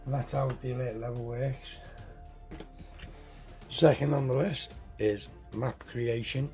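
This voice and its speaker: A hoarse man getting over a cold walks through a tabletop game setup, halting, correcting himself and clearing his throat. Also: medium pitch, warm tone. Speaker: tabletop game tutorial host. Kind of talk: casual step-by-step game setup walkthrough.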